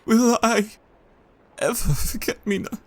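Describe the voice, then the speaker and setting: Man acting a slow, husky, haunted young husband. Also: high voice, no room sound. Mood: frightened, fragile. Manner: halting, troubled, fragile. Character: Jonathan, haunted fragile young husband in Victorian gothic drama. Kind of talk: gothic audio drama acting.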